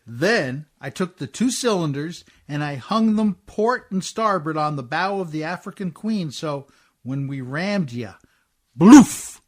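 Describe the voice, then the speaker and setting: An actor playing a scrappy, folksy working-class riverboat skipper in a radio play, with plain, ungrammatical speech. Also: male voice, on the high side, lively intonation, slow. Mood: sly, proud.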